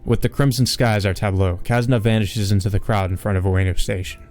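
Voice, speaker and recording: A man's voice: lowish voice, fairly even intonation, fast talker, darkish tone. A man hosting a let's play, reading a visual novel aloud and adding casual asides. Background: game music.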